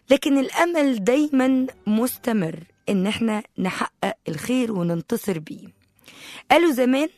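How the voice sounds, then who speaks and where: Slightly hoarse Egyptian woman radio host. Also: medium pitch, no room sound. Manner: chatty, playful, addresses listeners directly. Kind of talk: casual live radio talk. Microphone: close studio microphone.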